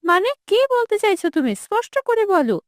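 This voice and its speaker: A woman's voice: very high-pitched, big pitch swings, brisk, no echo, pitched above the performer's own voice. A woman playing an earnest, repentant young woman in a cartoon, anxious and pleading. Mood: troubled.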